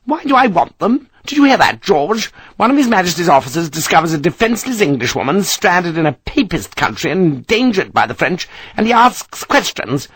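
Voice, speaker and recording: Man doing a high-pitched bossy lady, imperious, scolding, dry close sound. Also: big pitch swings, normal speed, fairly bright tone, breathy edge, no echo, full character voice, poor audio. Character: domineering stout Englishwoman, shrill and imperious.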